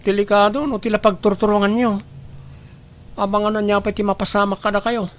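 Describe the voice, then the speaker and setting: A man's voice: high-pitched, flat delivery, a little fast, close to an everyday voice. A man playing a kind, patient young restaurant worker in a radio drama, polite and caring. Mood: polite, concerned. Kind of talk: Ilocano radio drama acting.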